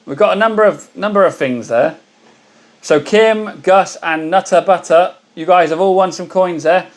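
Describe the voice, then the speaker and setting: A hoarse man hosting a casual live stream, chatting and greeting viewers by name. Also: high-pitched, normal speed. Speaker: livestream host.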